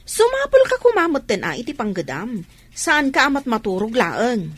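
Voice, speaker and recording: A woman's voice: fairly high pitch, wildly swooping pitch, moderate pace, fairly bright tone, breathy edge, full character voice. A woman playing a nagging, exasperated wife in a Filipino radio comedy-drama, with a Filipino accent.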